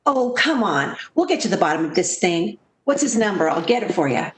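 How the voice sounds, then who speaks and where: A woman's voice: medium pitch, big pitch swings, fairly quick, reverberant, close to an everyday voice. A woman playing a sensible, protective friend in 1940s-style radio theater, coaxing and theatrical. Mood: reassuring, practical.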